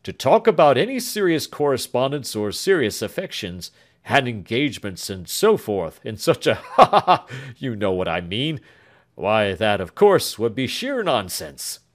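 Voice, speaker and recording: A man's voice: medium pitch, big pitch swings, normal speed, lightly acted. A man playing a blustering jolly old doctor. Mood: jovial. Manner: hearty, chuckling, blustery. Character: jovial older male doctor, blustering guardian.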